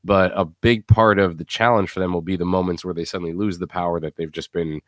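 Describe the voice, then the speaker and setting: A man with a fairly low voice hosts a casual post-show chat. He rambles conversationally and often trails off mid-thought. The sound is close and dry, with very little room in it. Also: steady tone, quick pace, no echo. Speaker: podcast host.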